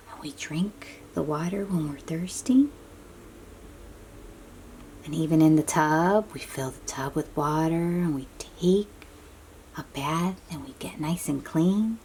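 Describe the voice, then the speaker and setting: Soft breathy lady reading a kids picture book, mellow and kinda low, explains stuff to the little ones. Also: slight background noise. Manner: slow, child-directed, encouraging questions and affirmations. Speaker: read-aloud storybook host. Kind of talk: gentle picture-book read-aloud with asides to young children.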